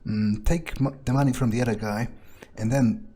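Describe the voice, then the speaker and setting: In-character gamer, male, medium pitch with a rough husky edge, sardonic and sweary, musing aloud. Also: fairly even intonation, moderate pace, warm tone. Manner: sardonic, foul-mouthed. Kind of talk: in-character game roleplay, thinking aloud.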